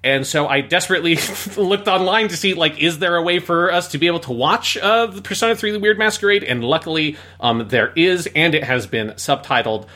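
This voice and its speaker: A man's voice: a little high, brisk, few pauses, bright, forceful tone. A man co-hosting a podcast, explaining things in a casual, somewhat rambling way. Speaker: podcast co-host.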